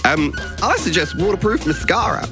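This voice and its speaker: A man plays a sassy Australian woman confiding in a friend. The delivery is hammy and amateur, with animated, swooping pitch over loud music. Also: moderate pace. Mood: dry, sassy. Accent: put-on Australian. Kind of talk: hammy amateur scene reading.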